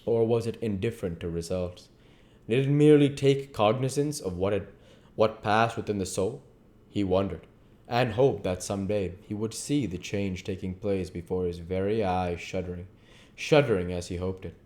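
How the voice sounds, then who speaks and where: Low-voiced man reading a novel aloud. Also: moderate pace, darkish tone. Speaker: audiobook reader. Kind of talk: literary narration read aloud.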